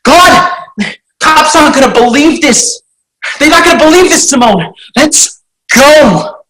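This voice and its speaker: A woman reading a script aloud, voicing a brash, panicky teenage boy who shouts. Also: sits high, measured pace, slight background noise, voice pushed up, rough recording. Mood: panicked. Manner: frantic, shouting. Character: panicky young male teen, brash. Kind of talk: script table read.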